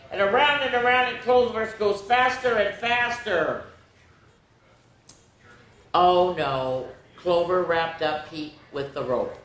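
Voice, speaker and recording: A woman's voice: mid-range voice, fairly bright tone. A woman hosting children's story time, reading picture books expressively and playfully, with chatty asides to young listeners. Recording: very echoey room with faint noise.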